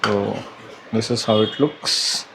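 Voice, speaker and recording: A man's voice: on the low side, steady tone, slow pace. A man giving a casual, hesitant unboxing commentary, thinking aloud as he goes. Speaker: vlogger unboxing a product. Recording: clear background noise, room ambience.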